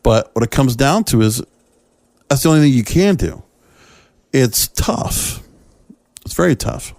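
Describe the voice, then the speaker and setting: A man's voice: medium pitch, animated, unhurried, vocal fry, a little mellow, dry recording. A man hosting a solo podcast, giving opinionated commentary in a conversational, emphatic way and addressing listeners directly. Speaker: podcast host.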